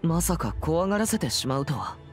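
A man's voice: on the high side, big pitch swings, moderate pace, faint music behind. Serious teenage boy spirit hunter, polite, composed, swooping intonation, anime game. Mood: earnest. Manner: serious, polite, slightly stiff. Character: earnest, composed teenage boy exorcist hunting evil spirits. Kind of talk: anime game character acting.

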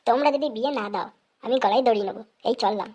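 A woman's voice: fairly high pitch, racing, darkish tone, breathy edge. A woman voicing a whiny, stubborn little village boy in a comic cartoon, childish and insistent. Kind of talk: comic Bengali cartoon dubbing.

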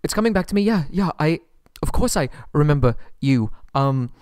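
A man's voice: on the high side, animated, moderate pace, darkish tone, smooth, no room sound, studio quality, close to an everyday voice. A man playing a nervous, submissive, polite young prince held captive, stammering and pleading in an ASMR roleplay audio drama. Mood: frightened, pleading.

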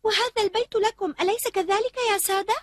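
A woman playing a gentle, polite young princess in a dubbed cartoon, with a plaintive, expressive delivery. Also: very high-pitched, fast, over a noisy crowd.

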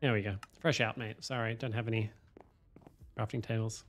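A man, streaming a game from home on a headset, hoarse from a cold, swearing and joking with chat. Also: fairly low pitch, level tone, normal speed, faint music behind. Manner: swearing, self-mocking, chatting to viewers. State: hoarse, losing his voice from a cold. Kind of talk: casual live-stream chatter while playing.

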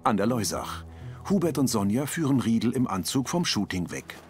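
A man's voice: mid-range voice, big pitch swings, moderate pace, faint music behind. A man reading scripted audio description of a television drama as its narrator.

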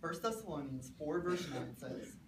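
A teenage man giving a short memorized sermon, earnest and measured, speaking in short phrases. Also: fairly high pitch, lively intonation, background noise. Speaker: student preacher. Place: classroom with a small stage, indoors. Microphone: far camera, no microphone. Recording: echoey room from far off.

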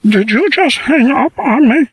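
A man playing a husky, reedy old codger. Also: extremely high pitch, lively intonation, a little slow, dry recording, full character voice. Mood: rambling. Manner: rambling, plaintive, feigning confusion. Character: lonely bald old man caller. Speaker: scambaiter.

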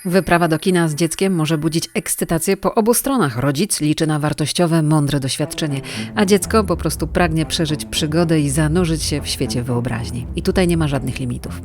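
A woman's voice: deep voice, brisk, hardly any pauses, darkish tone. A middle-aged woman hosting a radio feature, reading scripted narration. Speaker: radio host. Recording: music behind the voice. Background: background music.

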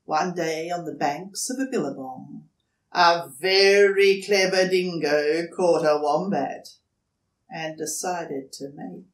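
Very slow older woman storyteller. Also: on the low side, far from the mic. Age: older, 60s or above. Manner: deliberate, dramatic. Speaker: storybook reader. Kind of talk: expressive children's story narration. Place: indoors, furnished living room. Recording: echoey living room.

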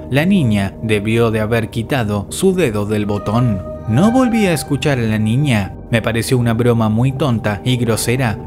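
A man narrating a scripted first-person story as a storyteller. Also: medium pitch. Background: music.

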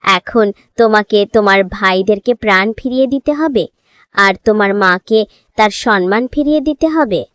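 A woman's voice: medium pitch, normal speed, a bit bright, no room sound, close to an everyday voice. A woman voicing a benevolent fairy queen in a cartoon, explaining things solemnly to a young girl. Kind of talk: cartoon voice acting.

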